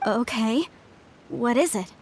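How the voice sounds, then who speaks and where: A woman voicing an excitable, proud young archaeologist in a video game, with emphatic, expressive delivery. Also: on the high side, takes it slowly, slight background noise. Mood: curious. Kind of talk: video game character acting. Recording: music behind the voice.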